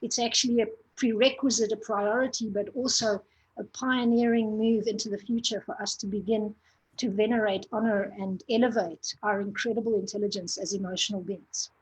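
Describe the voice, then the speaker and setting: A woman's voice: mid-range voice, fairly even intonation, fairly quick, husky, low-quality recording. A woman from Zimbabwe speaking as a panelist in a lofty, emphatic, uplifting way. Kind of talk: inspirational conference talk.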